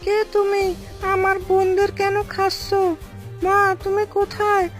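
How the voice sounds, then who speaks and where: A woman's voice: very high voice, completely flat delivery, measured pace, soft background music. A woman voicing a young baby snake in a children's cartoon, childlike, clingy and earnestly pleading. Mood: alarmed.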